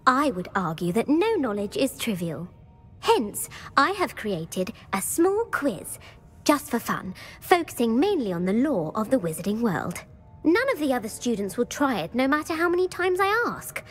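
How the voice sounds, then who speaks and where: Know-it-all student in a game, super lively up-and-down voice, pretty high, music under it. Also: female voice, normal speed, husky. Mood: earnest. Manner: eager and lecturing, bursts of praise. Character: bookish young female student, eager quizmaster. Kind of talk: video game voice acting.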